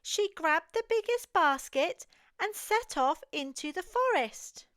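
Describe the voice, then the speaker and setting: English lady reading a toddler bedtime story, really high pitched, slow careful pace. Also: animated, a bit bright, no room sound, clean studio sound. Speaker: children's storyteller. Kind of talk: read-aloud picture book narration for toddlers.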